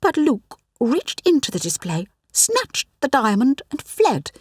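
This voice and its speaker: A woman's voice: sits high, wildly swooping pitch, unhurried, slightly rough, no echo, clean studio sound, pitched above the performer's own voice, exaggerated voice. A woman voicing a stern, proper older female museum doctor in an audiobook, polite but clipped and reproachful.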